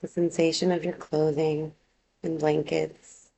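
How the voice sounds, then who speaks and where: A woman leads a guided relaxation as a yoga nidra teacher. Her voice sits fairly low and carries a strong creaky fry. She speaks very slowly and calmly, giving gentle instructions in unhurried phrases. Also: low-quality recording.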